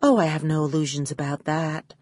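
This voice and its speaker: A woman's voice: sits low, steady tone, a little fast, darkish tone, no room sound. A woman narrator puts on a glamorous female film star in an audiobook mystery. She is poised and shrewd, with knowing amusement under the polish. The recording is dry and close. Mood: amused candor.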